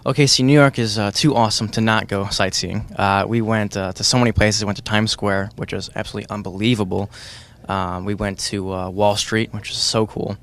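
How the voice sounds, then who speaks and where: A young man giving casual, halting interview answers with many ums, as a student interviewee. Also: lowish voice, fairly even intonation, a bit bright. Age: young adult.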